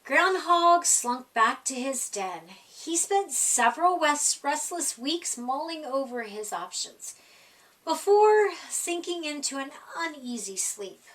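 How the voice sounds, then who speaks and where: A woman storyteller reading a children's picture book aloud in an expressive style. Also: high voice. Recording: voice a little distant from mic.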